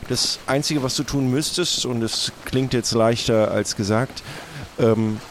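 A man playing a flustered, formal stand-in pirate captain in an improvised roleplay, speaking haltingly and wordily. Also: medium pitch, a little hiss or hum, close to an everyday voice. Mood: hesitant, formal. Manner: halting, hesitant, wordy.

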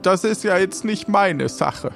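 A man playing a flustered, easily flattered ship navigator who sighs and speaks haltingly. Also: sits high, vocal fry, faint music behind, voice pushed up, heavily acted. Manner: halting, sighing with repeated "ach".

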